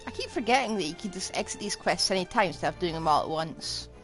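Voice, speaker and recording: A woman's voice: fairly low pitch, animated, quick pace. A woman streaming a game, giving casual live commentary in short offhand remarks. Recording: faint game music behind the voice.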